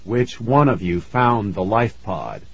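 A man's voice: on the low side, very animated, slow, gravelly, husky, no echo, dull audio, heavily acted. A man voicing an earnest, protective scientist father in a storybook, speaking emphatically. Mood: earnest relief.

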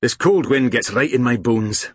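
A man voicing a grumpy, no-nonsense old grandfather in a children's storybook, gruff and curt. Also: mid-range voice, expressive, normal speed, dry recording, close to an everyday voice.

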